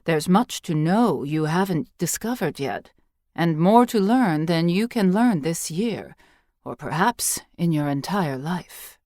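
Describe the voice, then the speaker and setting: A woman voicing a wise, patient old priestess in an audiobook, measured and instructive with gentle teasing. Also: fairly low pitch, animated, no room sound, studio quality. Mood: gently teasing. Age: older.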